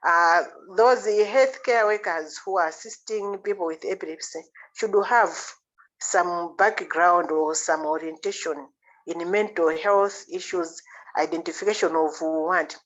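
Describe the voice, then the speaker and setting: A woman health worker speaking in a measured, slightly hesitant way on a panel call. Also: fairly low pitch, fairly bright tone. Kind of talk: panel discussion on video call. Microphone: video call. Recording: dull video call audio.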